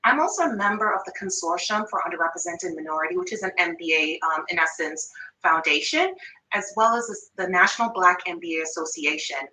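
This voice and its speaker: A woman's voice: on the low side, brisk, sharp tone, reverberant, recorded from a distance, poor audio. A woman in her thirties from Jamaica with a light Jamaican accent, giving an upbeat online workshop presentation on pay. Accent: Jamaican, with Toronto and New York influence. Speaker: workshop presenter, finance manager.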